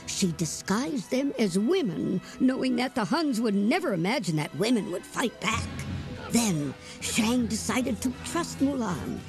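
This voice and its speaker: Female storybook reader, expressive and animated, music under. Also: on the high side, measured pace. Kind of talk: read-aloud storybook narration.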